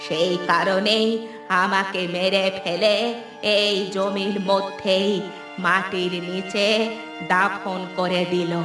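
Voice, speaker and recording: A woman's voice: medium pitch, level tone, takes it slowly, lightly acted. A woman voicing a wronged old woman ghost, commanding and mournful, in a horror cartoon. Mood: sorrowful, bitter. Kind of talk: Bengali horror cartoon voice acting. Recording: echoey, distant, music underneath.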